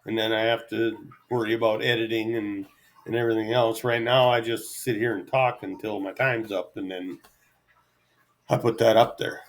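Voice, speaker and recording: A middle-aged man rambling unscripted to his viewers as a solo vlogger, halting and easygoing, with self-deprecating asides. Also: lowish voice, fairly even intonation.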